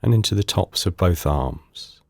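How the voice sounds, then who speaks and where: A man leading a guided meditation in calm, unhurried, soothing short phrases with pauses between them. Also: sits low, creaky, dry recording. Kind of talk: guided body scan meditation.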